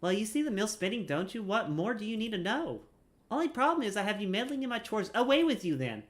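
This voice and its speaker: A man's voice: sits high, very animated, fast talker, voice pushed up. A man voicing a rude, dismissive villager busy with chores in fantasy game dialogue, curt and haughty. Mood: irritated.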